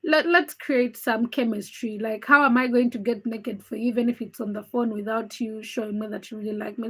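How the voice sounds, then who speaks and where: Young woman from uganda doing a livestream, chatty and candid, english not her first language, a bit high-pitched. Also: slightly rough, poor audio. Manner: chatty, candid, greets viewers by name. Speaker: livestream host. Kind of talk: casual livestream chat reading comments.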